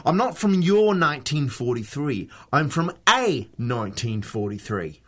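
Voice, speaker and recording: A man's voice: medium pitch, very animated, unhurried. An actor playing a breezy, flippant male secret agent in a period-style radio drama. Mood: breezy, explanatory. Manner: flippant, teasing, nonchalant. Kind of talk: 1930s radio drama acting.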